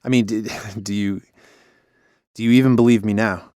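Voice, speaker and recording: Lowish male voice, dry close sound, weary washed-up radio DJ, sardonic, self-deprecating, mystery audio drama, acted by a man. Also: steady tone, moderate pace, a little mellow, no room sound, lightly acted. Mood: wry, teasing. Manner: sardonic, conversational. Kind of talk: naturalistic audio drama dialogue.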